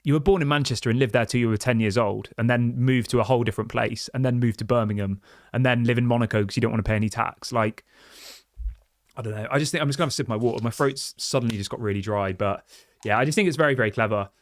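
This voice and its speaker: A man's voice: mid-range voice, fast, dry recording, studio quality. A man hosting a football fan livestream, reading viewer chats and ranting passionately with lots of restarts and rhetorical questions. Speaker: football fan channel host.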